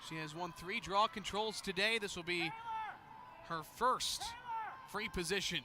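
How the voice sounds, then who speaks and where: A man's voice: high-pitched, unhurried, crowd noise. An American man doing live play-by-play sports commentary, with the sound of an outdoor game behind him. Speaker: play-by-play announcer. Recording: clear outdoor stadium noise behind. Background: outdoor ambience of a game.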